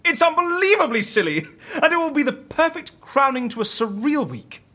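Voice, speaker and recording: An actor playing a gleeful, eccentric schemer in an audio comedy, theatrical, bossy and relishing every word. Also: male voice, very high voice, big pitch swings, normal speed, pushed and bright, heavily acted. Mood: exuberant. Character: gleeful eccentric male schemer plotting absurd political stunts. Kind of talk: audio comedy drama acting.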